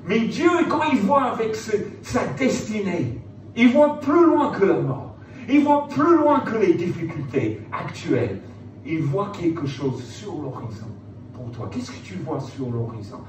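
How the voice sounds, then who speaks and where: A man's voice: high-pitched, sing-song, measured pace, roomy sound. Male preacher, informal motivational sermon, emphatic repetition, recorded from a distance with noticeable background noise. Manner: conversational, rhetorical questions, emphatic repetition.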